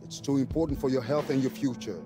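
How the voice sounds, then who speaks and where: An actor playing a firm, protective basketball coach in a video game cutscene. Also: male voice, mid-range voice, quick pace, over music, close to an everyday voice.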